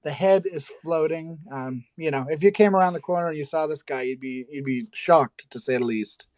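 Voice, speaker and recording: A man hosting a casual live audio chat, chatty and quick to laugh. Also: a little high, darkish tone, dry recording. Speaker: host.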